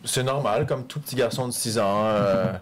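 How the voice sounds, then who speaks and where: A man's voice: mid-range voice, normal speed. A young man from France, a podcast guest chatting casually and thinking aloud with plenty of fillers. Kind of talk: casual chat.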